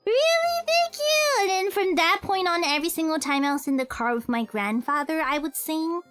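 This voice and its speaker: Very high-voiced young woman streamer. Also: animated, moderate pace, a bit bright, faint music behind. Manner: rambling, self-correcting, giggly. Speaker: streamer and cover singer. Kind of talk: casual livestream Q&A chat.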